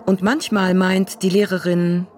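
High-voiced man reading poetic prose. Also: flat delivery, slight background noise. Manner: slow, deliberate poetic delivery. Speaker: reader of a literary text. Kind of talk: scripted literary reading.

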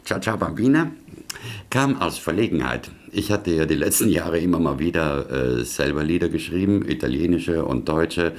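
A man's voice: rumbling low, expressive, moderate pace. An older man from South Tyrol telling anecdotes in a chatty, digressive way, often tagging his sentences. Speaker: interviewee, performer. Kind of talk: casual anecdotal storytelling.

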